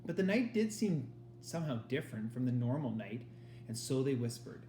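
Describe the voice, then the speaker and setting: A man's voice: mid-range voice, big pitch swings, normal speed, mellow. A man reading a storybook aloud as a teacher does for students, recorded in an echoey room. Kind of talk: storybook read-aloud narration. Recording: echoey room with faint noise.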